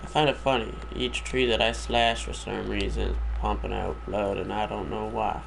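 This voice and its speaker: Gravelly low-voiced male game commentator. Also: steady tone, normal speed. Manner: rambling, wry asides to viewers. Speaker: gaming commentator. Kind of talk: casual let's-play commentary. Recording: noisy background hiss.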